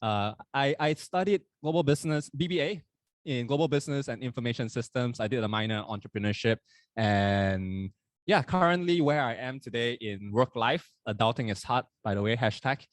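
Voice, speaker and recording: A man in his twenties, not from Hong Kong, co-hosting a podcast at a live university event in a relaxed, chatty way. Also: mid-range voice, moderate pace, dry recording. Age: 20s. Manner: relaxed, chatty, self-correcting. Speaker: podcast co-host. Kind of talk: casual chat at a live event.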